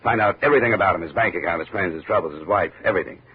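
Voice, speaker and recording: An American actor playing a brisk, hard-boiled male investigator in 1950s radio drama style, clipped and wry. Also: deep voice, slightly rough. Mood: brisk, commanding. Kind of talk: 1950s radio detective acting, first-person narration. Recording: muffled old radio recording, faint music.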